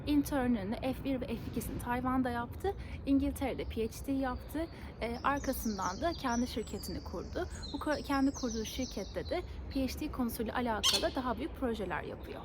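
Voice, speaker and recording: High-voiced Turkish woman host. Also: fairly quick, background noise. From Turkey. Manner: friendly, uses many backchannel sounds. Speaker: interviewer and channel host. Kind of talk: casual interview.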